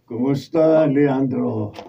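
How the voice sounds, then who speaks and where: A man's voice: mid-range voice, takes it slowly, creaky, mellow. An actor with a Filipino accent playing a vengeful, menacing ruined businessman in a radio drama. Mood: menacing, taunting. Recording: dull, noisy, distant studio camera recording.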